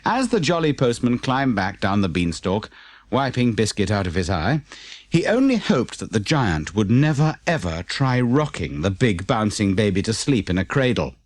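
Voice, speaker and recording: A man with a British accent reading a children's audiobook as an expressive, scripted storyteller. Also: lowish voice, moderate pace, no room sound. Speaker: audiobook narrator.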